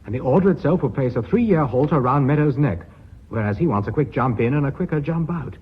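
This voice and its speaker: An actor playing an authoritative, decisive company chairman in a British radio play, with a husky, formal, businesslike delivery. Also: medium pitch, animated, fairly quick. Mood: assured. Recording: dull, muffled old radio recording.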